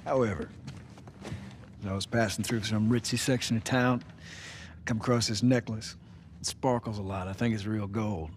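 An actor playing a weathered middle-aged survivor in a video game drama, with a folksy, easygoing manner. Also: male voice, lowish voice, steady tone, normal speed, slight background noise, lightly acted. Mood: wry, easygoing.

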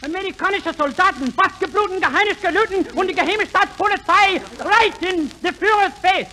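A man's voice: very high-pitched, brisk, sharp tone, a little hiss or hum. A man playing a frantic comic German general with a German accent, babbling double-talk in a 1940s radio comedy on an old broadcast. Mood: manic.

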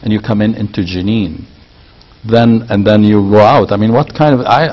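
An older man interviewing a guest in a TV studio, asking measured questions and restating the guest's points back to him. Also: on the low side. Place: small TV studio with curtains and armchairs. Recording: dull lo-fi broadcast sound.

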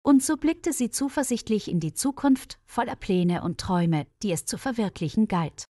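A woman's voice: medium pitch, expressive, normal speed, dark tone, dry recording. Woman narrator, very dry close sound. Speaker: narrator of graded German stories for learners. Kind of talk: scripted narration, read-aloud story.

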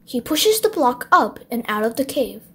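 A woman's voice: fairly high pitch, very animated, normal speed, sharp tone, slight background noise. A woman narrating a children's picture book as a scripted bedtime read-aloud.

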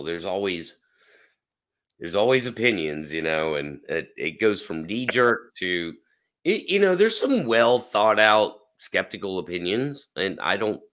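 A man hosting an interview over a video call from home, animated and rambling with many fillers, his voice hoarse and cracking. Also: low voice. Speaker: host. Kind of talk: casual interview chat. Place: home room. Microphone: webcam on a video call.

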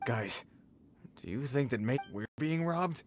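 A man's voice: mid-range voice, expressive, a little slow, slight background noise. A man voicing a nervous, deferential bandit leader in a game, speaking hesitantly and earnestly. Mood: wary, puzzled. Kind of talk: animated game character dialogue.

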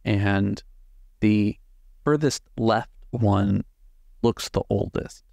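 Man, game master narration, lowish creaky voice, halting delivery, dry close sound. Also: a little mellow, no room sound. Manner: measured, halting delivery with drawn-out words. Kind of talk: improvised tabletop game narration.

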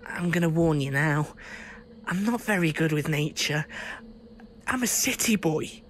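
A man's voice: on the high side, animated, measured pace, fairly bright tone, slight background noise. A man playing a grumbling city boy out of his element in an audio drama. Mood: uneasy, complaining.

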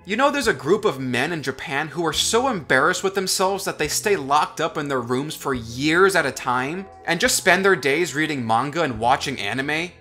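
A young man in college giving sarcastic, deadpan commentary as a voice-over. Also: a little high, normal speed, hardly any pauses, soft background music. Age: young adult. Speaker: commentary vlogger. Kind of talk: sardonic scripted commentary over animation.

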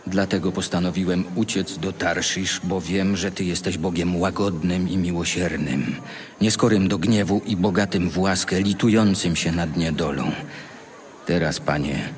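Man as Jonah, low, bitter, weary prophet. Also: normal speed, a little hiss or hum, natural voice. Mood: bitter pleading. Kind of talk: audio Bible drama.

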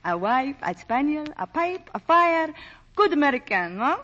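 A coquettish Frenchwoman speaks with a French accent in a high, ringing voice that swoops expressively. Her delivery is theatrical 1940s radio acting. The old broadcast recording is dull and noisy. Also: big pitch swings, normal speed, a bit bright. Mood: flirtatious, teasing. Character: flirtatious, effusive Frenchwoman with an unruly dog.

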